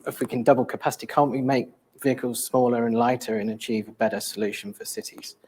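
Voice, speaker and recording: A man's voice: mid-range voice, steady tone, quick pace, a little mellow, slightly rough. A man moderating a panel, posing questions to the speakers in a conversational way.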